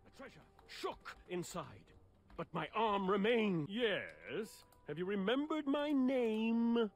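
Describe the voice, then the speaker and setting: An actor playing a vain knight in a fantasy game, telling of himself in a pompous, melodramatic way. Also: male voice, high-pitched, very animated, full character voice. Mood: grandly self-satisfied. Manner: pompous, dramatic, drawn-out delivery. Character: vain, melodramatic male knight boasting of exploits. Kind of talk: animated video game acting.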